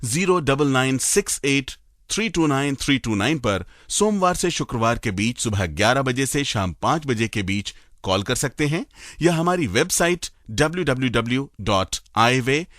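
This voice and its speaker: A man hosting a radio show, announcing in a clear, scripted way. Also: mid-range voice, animated, moderate pace, a bit bright, no room sound, clean studio sound.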